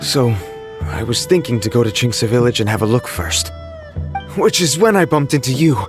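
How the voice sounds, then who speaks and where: A man's voice: medium pitch, expressive, normal speed, over music, natural voice. Man playing an anxious, deferential fireworks organizer. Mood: worried. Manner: worried, earnest, deferential. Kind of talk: video game voice acting.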